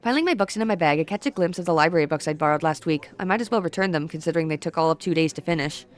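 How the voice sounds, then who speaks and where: A woman reading a visual novel aloud as first-person narration, brisk and now and then stumbling over words. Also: deep voice.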